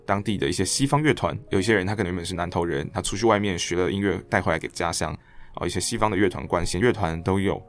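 A man's voice: deep voice, fast. A man from Taiwan with a Taiwanese Mandarin accent, a podcast guest telling stories in a casual, reflective way. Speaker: interview guest, stage director and actor.

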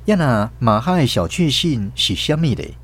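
A man's voice: medium pitch, big pitch swings, moderate pace. A man with a Taiwanese accent narrating a scripted radio story as its host. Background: soft plucked-guitar music.

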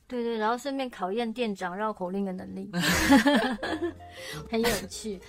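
A woman's voice: fairly high pitch, measured pace. A young woman, co-hosting a casual chat about her radio drama, laughing often as she talks. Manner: giggly, chatty, laughs often. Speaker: radio drama co-host and voice actor. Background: music.

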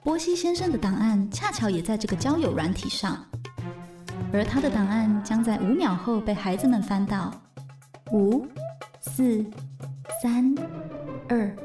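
A woman's voice: fairly high pitch, expressive, a little slow, pauses often, music in the background. A woman narrating a radio drama as a composed storyteller. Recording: roomy, slightly distant voice.

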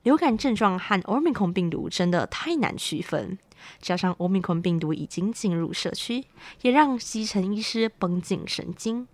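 A woman's voice: mid-range voice, expressive, normal speed, darkish tone, no room sound. A young adult woman with a Taiwanese Mandarin accent reading a scripted news report as a student reporter.

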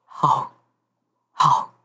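An actor playing a weary, middle-aged father mourning his son, with a husky voice. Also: extremely slow, sharp tone, exaggerated voice. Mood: sorrowful.

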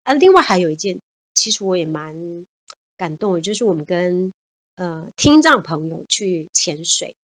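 A woman's voice: fairly low pitch, big pitch swings, moderate pace. A woman in her fifties with a Taiwanese Mandarin accent, a radio interview guest who tells stories from her childhood and her adventures in an earnest, exclaiming way. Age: 50s. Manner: earnest, warm-hearted, often exclaiming. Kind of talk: reminiscing conversational storytelling.

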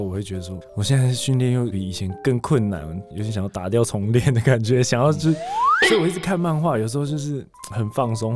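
A man's voice: mid-range voice, darkish tone. A man, chatting casually and agreeably as a guest, telling things in an easygoing way. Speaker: talk show guest. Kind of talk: casual conversational storytelling.

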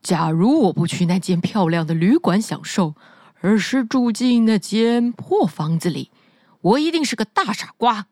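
A woman's voice: medium pitch, big pitch swings, normal speed, a little mellow, no echo, studio quality, pitched below the performer's own voice. Woman doing a smug, scornful young prince. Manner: dismissive and smug. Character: lazy, pleasure-seeking eldest prince, scornful.

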